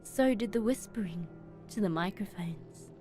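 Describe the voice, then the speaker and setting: A woman streamer reading a horror story aloud in expressive, dramatic narration with emphatic pauses. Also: mid-range voice. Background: background music.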